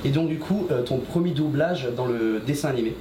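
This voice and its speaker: A man's voice: mid-range voice, brisk, dark tone. A man hosting an interview, reading his introduction and asking questions, adding brief acknowledgements. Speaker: interviewer, channel host. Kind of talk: interview questions, partly read. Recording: echoey room with faint noise.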